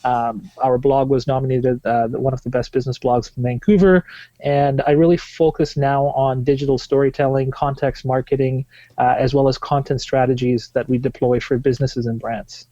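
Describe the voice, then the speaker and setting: Tanzanian guy on a podcast, warm dark voice, dry muffled mic, lots of uh. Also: medium pitch, level tone, moderate pace, no room sound. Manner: fluent, with frequent "uh" fillers and restarts. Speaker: guest, marketing specialist. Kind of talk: podcast interview answers.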